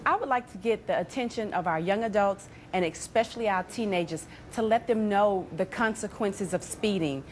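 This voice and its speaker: A woman's voice: medium pitch, normal speed, few pauses, a little hiss or hum. A woman answering questions as a campaigner in a news interview. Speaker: safety campaigner, interview guest.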